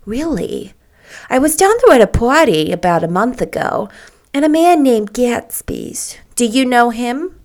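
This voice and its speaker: A worldly young gossip from a storybook, played by a woman narrator. She confides eagerly and emphatically, with a very animated melody to her speech. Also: a little high, measured pace, pitched above the performer's own voice. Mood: curious, gossipy.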